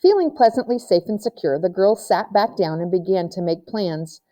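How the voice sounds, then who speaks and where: Mellow woman reading a story aloud. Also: medium pitch, lively intonation, normal speed. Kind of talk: children's novel read-aloud narration.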